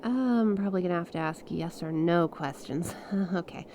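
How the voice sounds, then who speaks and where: A woman playing an earnest, awestruck stranded space pilot in an ASMR audio roleplay, speaking expressively and haltingly. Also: fairly low pitch, a little hiss or hum, natural voice. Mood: curious, excited. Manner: expressive, halting, conversational, with small laughs and breathy exclamations. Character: stranded young female star scout pilot, awestruck and earnest.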